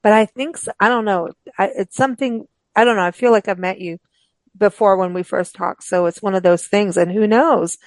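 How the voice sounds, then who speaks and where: A woman's voice: mid-range voice, moderate pace, no echo. A woman hosting a books show, talking in a casual, rambling, informal way as she interviews guests. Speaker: host of a books radio show.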